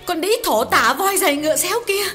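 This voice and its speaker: A man voicing an enraged, betrayed young wife who scolds shrilly and cries as she shouts. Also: very high voice, expressive, brisk, soft background music, pitched above the performer's own voice, exaggerated voice. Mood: furious. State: crying.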